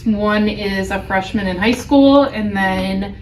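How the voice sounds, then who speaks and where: A woman's voice: medium pitch, slow, a little mellow. A middle-aged woman from New Hampshire with an American accent, a teacher answering interview questions casually. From New Hampshire, United States. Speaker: elementary school teacher being interviewed. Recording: roomy, distant mic, faint background noise.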